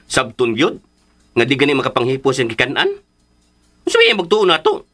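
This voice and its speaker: A man playing a gossipy, comical, grumbling uncle in a radio drama, animated and whiny, with a Cebuano accent. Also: mid-range voice, normal speed, exaggerated voice. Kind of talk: Philippine radio drama acting.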